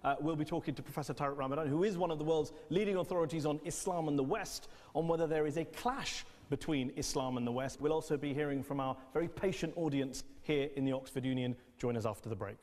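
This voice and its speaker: A man's voice: a little high, quick pace, hardly any pauses, darkish tone. A man hosting a broadcast interview, pressing his guest with pointed, rhetorical questions and cutting in. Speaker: TV interviewer host. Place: debating hall with audience. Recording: long hall reverb.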